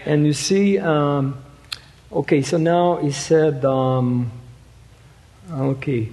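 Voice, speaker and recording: A man preaching in a fairly high voice, slow and halting, leaning on fillers and rhetorical asides. His words trail off into the long reverb of a large church hall. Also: dark tone. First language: French. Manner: halting, many fillers, rhetorical "you see". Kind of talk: sermon.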